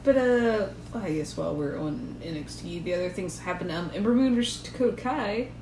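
A woman's voice: lowish voice, lively intonation, a little fast. Rambling, giggly woman in an echoey room. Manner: rambling and giggly, with lots of fillers. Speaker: podcast co-host. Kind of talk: casual chat about wrestling. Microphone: shared recorder. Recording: echoey room with background noise.

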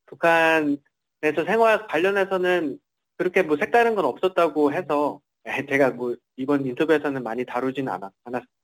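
Male reporter, slightly high pitch, semi-formal interview account, hesitant phrasing with self-corrections. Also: fairly quick, poor audio.

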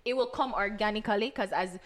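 A woman's voice: medium pitch, brisk, a bit bright. A woman co-hosting a podcast, giving firm opinions in casual chat and often talking over the others. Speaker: podcast co-host.

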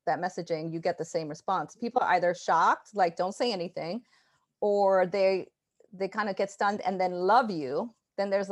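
Lively New woman on a call. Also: medium pitch. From New York, USA. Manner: animated, laughing often, restarts. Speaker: guest artist. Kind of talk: casual interview chat. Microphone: video call.

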